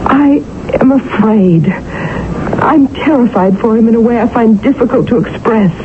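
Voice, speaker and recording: A well-bred young fiancée, voiced by a woman, speaking earnestly and fearfully with refined diction. The sound is thin and phone-like, like an old radio broadcast, with steady hiss behind her. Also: fairly high pitch, a little slow, close to an everyday voice. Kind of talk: 1970s radio drama acting.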